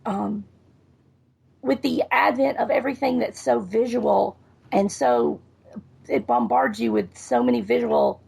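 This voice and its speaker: A woman hosting a podcast interview, talking in a halting way and searching for her words. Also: fairly high pitch, gravelly, darkish tone, slightly rough. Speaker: podcast host. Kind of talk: casual interview.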